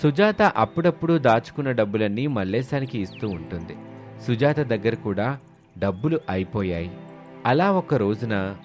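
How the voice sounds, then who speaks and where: A man's voice: lowish voice, sing-song, moderate pace, soft background music. A man narrating a scripted moral story as a storyteller.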